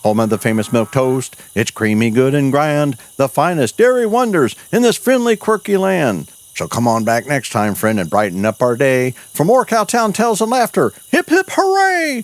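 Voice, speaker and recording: A man's voice: mid-range voice, no room sound. A man hosting and narrating a cowboy audio show in a folksy, homespun way.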